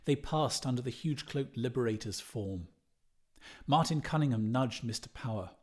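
A man's voice: mid-range voice, animated, moderate pace, a little mellow. A man reading a literary novel aloud as a scripted audiobook narration. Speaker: audiobook reader.

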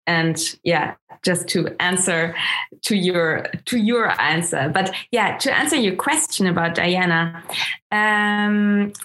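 Woman, German accent, German native speaker, slow, hesitant, many ums. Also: on the low side, a bit bright. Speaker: guest, astrologer. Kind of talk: interview conversation.

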